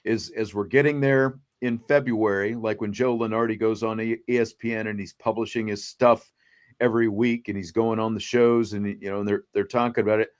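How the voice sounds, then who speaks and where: A man's voice: fairly low pitch, little pitch movement, fairly quick. A man hosting a sports talk show, speaking off the cuff in a wordy way that repeats and rephrases his points. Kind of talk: unscripted sports discussion.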